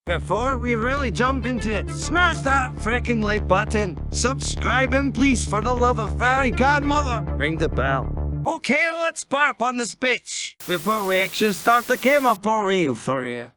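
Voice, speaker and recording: A man's voice: very high-pitched, expressive, measured pace. A man hosting a video game tutorial, narrating in a playful, exaggerated style full of internet slang, with music behind him. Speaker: gaming tutorial host.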